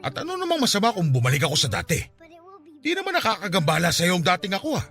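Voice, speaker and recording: A man with a Filipino accent acting in a radio drama as a gruff, troubled loner who is a reluctant foster father, halting and insistent. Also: high voice, huge pitch swings, bright, forceful tone, soft background music, heavily acted.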